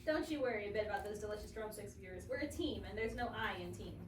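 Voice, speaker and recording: A woman's voice: medium pitch, fast talker, lightly acted. A teenage girl playing a sassy, sly, vain cat in a classroom reader's theater, with a teasing manner. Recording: echoey, noisy classroom, distant mic.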